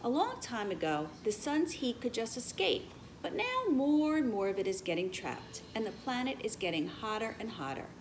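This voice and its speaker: A woman voicing a patient female elementary teacher in a children's storybook, explaining things clearly and emphatically. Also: fairly high pitch, very animated, normal speed, few pauses, slight background noise. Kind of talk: children's storybook read-aloud.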